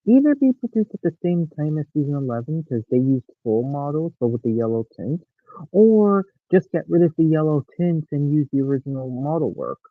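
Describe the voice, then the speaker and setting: A man's voice: fairly high pitch, fairly quick, dry recording. A man in his late teens chatting as a stream guest, hesitant but opinionated. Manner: hesitant, animated, opinionated fan. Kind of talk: casual chat. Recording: tinny phone-like call line with faint hiss.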